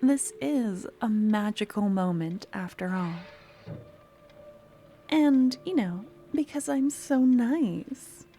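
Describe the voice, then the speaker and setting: A woman playing an eager, clingy young student with a secret obsession in an ASMR roleplay, speaking softly and often slipping into breathy whispers. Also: fairly high pitch, lively intonation, slow, a little hiss or hum. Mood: gentle, instructive. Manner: soft-spoken, often dropping to breathy whispers. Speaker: lab guide teaching embalming.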